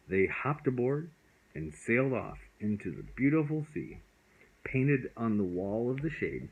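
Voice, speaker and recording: A father narrating a bedtime story in a gentle, loving, unhurried way. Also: on the low side, husky, muffled recording.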